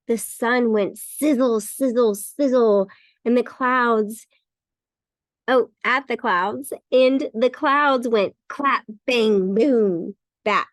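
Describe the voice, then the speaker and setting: A woman reading a bedtime story for children in an expressive, emphatic way. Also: on the high side, slow pace, dry recording. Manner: expressive, emphatic with repeated words. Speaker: storybook reader.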